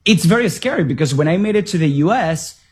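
Earnest man with husky voice. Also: fairly high pitch, animated, fast talker, a little mellow. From Venezuela. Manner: earnest, emphatic. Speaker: guest. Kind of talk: radio interview.

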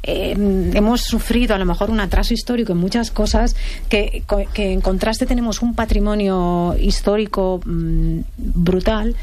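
Woman interviewer from Barcelona, medium pitch, chatty and engaged, restarts her sentences. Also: moderate pace, a little mellow, a little hiss or hum. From Barcelona, Spain. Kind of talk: conversational interview.

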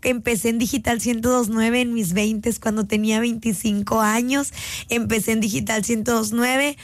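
A woman's voice: fairly high pitch, fairly even intonation, moderate pace, no room sound. A Mexican woman in her late twenties, a radio host, talking heartfelt and casual to her listeners and stretching key words out. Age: late 20s. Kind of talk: reflective casual monologue to listeners. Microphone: phone app mic.

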